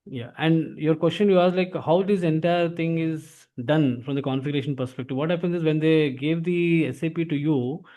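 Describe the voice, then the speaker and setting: A man's voice: a little high, normal speed, hardly any pauses, warm tone. A man with an Indian accent giving an informal explanatory lesson as an instructor, often tagging sentences with filler words. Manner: repeats filler tags like "actually".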